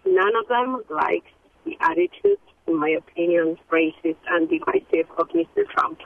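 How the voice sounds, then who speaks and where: Creaky woman caller on tinny phone line. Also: mid-range voice, big pitch swings, normal speed. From Argentina. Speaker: radio show caller. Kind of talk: giving an opinion on air.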